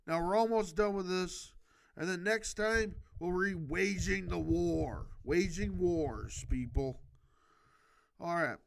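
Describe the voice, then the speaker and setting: Slow, high-pitched man reading aloud. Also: sharp tone. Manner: stumbles over words and corrects himself; emphatic, exhorting. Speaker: amateur video host reading a book aloud. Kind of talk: halting read-aloud with improvised casual commentary.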